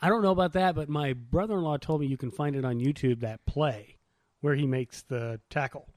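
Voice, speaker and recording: A man's voice: a little high, fairly quick, a little mellow, no echo. A man co-hosting a podcast, telling things informally and colloquially in casual sports banter. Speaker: podcast co-host.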